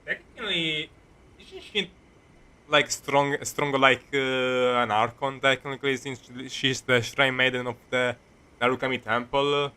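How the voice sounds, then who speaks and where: Bright-voiced male game streamer, rambling. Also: mid-range voice, measured pace, clean tone. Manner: rambling, jokey, repeats himself. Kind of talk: casual live game commentary. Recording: streamer voice over game music. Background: game music.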